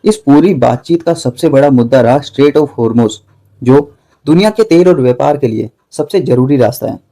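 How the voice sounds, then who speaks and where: A man's voice: mid-range voice, lively intonation, moderate pace, warm tone. A man reading a scripted news narration in a formal, dramatic way.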